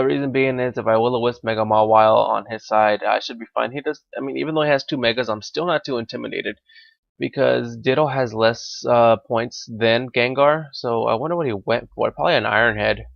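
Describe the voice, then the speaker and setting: A young man, slightly hoarse and raspy from being sick, giving live commentary on a competitive game and thinking out loud with frequent fillers. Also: mid-range voice, no echo, lo-fi sound. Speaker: gaming commentator.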